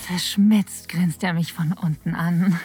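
An actress playing an aroused woman who narrates an intimate audio drama in a breathy, sensual, partly whispered voice. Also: lowish voice, fairly even intonation, slow pace, a little mellow, heavily acted.

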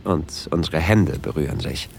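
Actor as introverted male traveler, very low voice, intimate hesitant delivery, romantic audio drama, clear background noise. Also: moderate pace. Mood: intimate, longing. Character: introverted, flustered male train traveler narrating a romantic encounter.

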